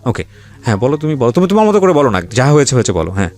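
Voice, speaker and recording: Fast-talking Bangladeshi man interviewing, lively intonation. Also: mid-range voice. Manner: directive, mixes in English words. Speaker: show host interviewing guests. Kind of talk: probing interview talk.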